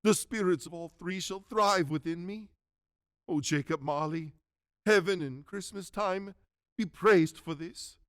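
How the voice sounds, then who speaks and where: A man playing a reformed old miser in a radio drama, giddy with joy and stammering as he excitedly repeats himself. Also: high voice, unhurried, clean tone, dry recording, clean studio sound. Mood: reverent gratitude. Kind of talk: theatrical radio drama acting.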